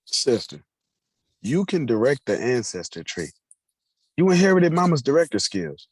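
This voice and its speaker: A man's voice: medium pitch, very animated, moderate pace, husky, no room sound. A man playing a concerned, encouraging grown son in a staged play reading. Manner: earnest, persuasive.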